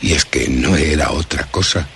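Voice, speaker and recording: A man's voice: extremely low pitch, normal speed, gravelly, fairly bright tone, slight background noise, exaggerated voice. A man playing an anxious philosopher who recounts a night of terror in a solemn, literary, suspenseful radio drama narration. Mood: fearful, suspenseful. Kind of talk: dramatic first-person horror radio narration.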